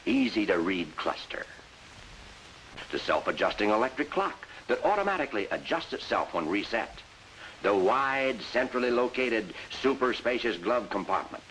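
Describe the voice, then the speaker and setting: An actor playing a bossy, emphatic sales mentor who narrates and coaches in a 1950s industrial training film. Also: male voice, mid-range voice, a bit bright, slight background noise, low-quality recording. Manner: instructive, emphatic, salesmanlike delivery. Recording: vintage 1950s film soundtrack with music.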